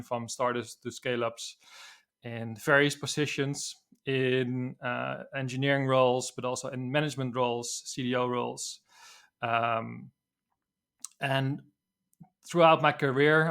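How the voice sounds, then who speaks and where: Podcast guest, forties, Dutch accent, mid voice, halting casual delivery with fillers. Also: male voice, medium pitch, a bit bright. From Netherlands. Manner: hesitant, many fillers and restarts, laughs often. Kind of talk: casual interview answers.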